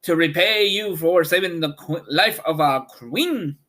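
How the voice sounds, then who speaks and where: A little field mouse, devoted and anxious, voiced by a man reading aloud. The storybook voice is raised in pitch and unhurried. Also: bright, forceful tone. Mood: eager.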